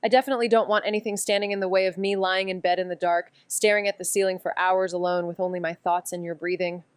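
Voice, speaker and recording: A woman's voice: lowish voice, steady tone, fast talker, smooth, no room sound, lightly acted. An actress playing a sarcastic, playful but secretly tender young woman in a naturalistic audio drama, with a flippant, casual delivery.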